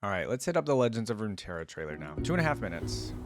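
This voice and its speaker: A man's voice: on the low side, sing-song, racing, over music. A man hosting a reaction video, giving casual, chatty commentary and musing aloud.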